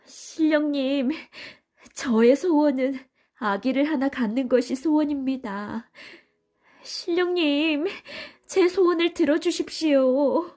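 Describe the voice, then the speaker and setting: A woman's voice: high voice, slow pace, darkish tone, faint music behind, voice pushed up. A woman voicing a humble villager in a storybook, making an earnest, beseeching plea. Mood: pleading. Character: pleading villager wishing for a baby.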